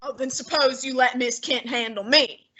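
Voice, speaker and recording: A woman's voice: high voice, fairly even intonation, normal speed, sharp tone. A teenage girl playing a fat, lazy, stubborn male detective in a student radio drama, with a pompous, clipped manner. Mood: haughty. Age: teens.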